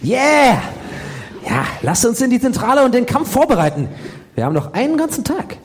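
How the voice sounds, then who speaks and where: Man playing a wary, very animated boy detective. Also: very high-pitched, moderate pace. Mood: eager. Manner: hesitant, rambling complaints with sudden outbursts. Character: whiny, wary boy detective, easily rattled. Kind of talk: comic audio drama acting.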